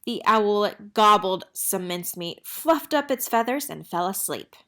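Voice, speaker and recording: A woman reads a children's storybook aloud at a medium pitch. She narrates expressively, as a storyteller would for young listeners. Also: expressive, a little slow, fairly bright tone. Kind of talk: children's picture book read-aloud.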